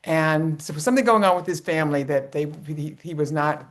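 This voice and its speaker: A woman in a film club telling an anecdote casually and haltingly. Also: sits low.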